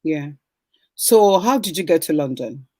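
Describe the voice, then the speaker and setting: A man's voice: fairly high pitch, expressive, unhurried, darkish tone, no room sound. A man hosting an interview, curiously and encouragingly asking his guest questions, sometimes searching for words. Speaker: host.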